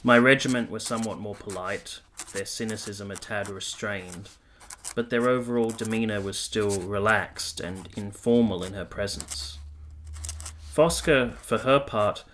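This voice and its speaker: A courteous army captain in a radio drama. His voice is fairly low, with faint noise behind it. He is reserved and speaks formally and evenly. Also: a bit bright. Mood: reflective. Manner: measured, formal. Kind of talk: radio play narration and dialogue.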